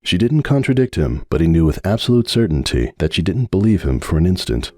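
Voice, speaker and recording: A man giving a dramatic reading of a fan story, narrating in a measured, brooding way. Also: low-pitched, sing-song, warm tone, no room sound. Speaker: audiobook narrator.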